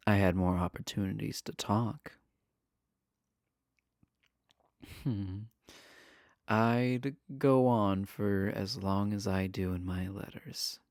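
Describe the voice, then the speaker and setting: A man acts out a charming villain behind bars for an intimate audio roleplay. His voice is lowish and gravelly with plenty of fry, and he draws his words out slowly. He comes across sly, coy and flirtatious. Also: a little mellow, no room sound, studio quality, exaggerated voice. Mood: sly, soft. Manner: coy, flirtatious, drawn-out casual delivery. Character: charming, teasing male villain prisoner, adorkable and sly.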